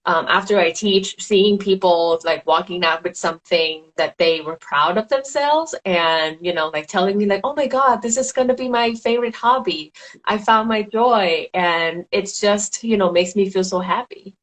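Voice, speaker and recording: A woman from Thailand with a Thai accent, a designer and paper florist chatting as an interview guest, full of fillers and quick laughs. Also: mid-range voice, poor audio. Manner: chatty, giggly, many fillers. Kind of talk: casual interview answers.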